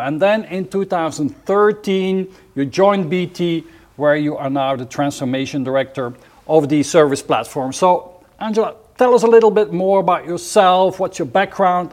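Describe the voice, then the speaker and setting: A man with a slightly high-pitched voice hosts a formal interview. He delivers prepared introductions and questions in a measured, deliberate way. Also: darkish tone. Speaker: interviewer, host.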